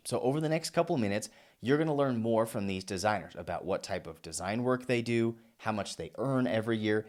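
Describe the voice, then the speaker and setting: A man hosting an online video, speaking straight to the viewers in an upbeat, direct way. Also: fairly low pitch. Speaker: host. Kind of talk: presenting to camera.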